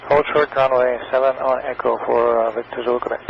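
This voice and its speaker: A man's voice: mid-range voice, flat delivery, fast, gravelly. Male pilot on noisy, muffled radio. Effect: aviation radio. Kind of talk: radio readbacks and requests.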